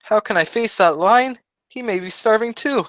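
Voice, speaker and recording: A man's voice: sits high, normal speed, a bit bright. A man playing a cowardly, dim but wisecracking sidekick in a vintage-style comedy radio double act. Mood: nervous, wisecracking.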